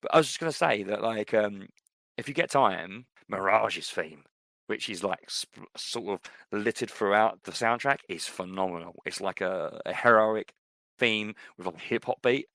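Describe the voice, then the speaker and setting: Low gravelly man in casual chat. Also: normal speed, dry recording. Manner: rambling, animated, many fillers. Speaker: podcast co-host. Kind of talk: casual chat about films.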